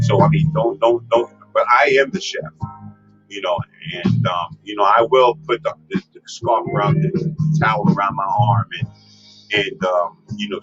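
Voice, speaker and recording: A man's voice: lowish voice, fairly bright tone. Host, male, strong voice, rambling advice talk over guitar music, lo-fi sound. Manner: rambling, many fillers and restarts. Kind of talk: casual advice livestream. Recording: lo-fi with music behind.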